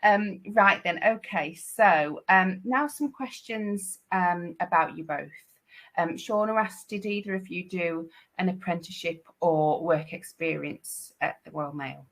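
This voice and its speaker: A woman's voice: fairly low pitch, unhurried, fairly bright tone. A woman hosting an online Q&A, reading out audience questions with some hesitation. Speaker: session host. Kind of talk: moderating a webinar Q&A.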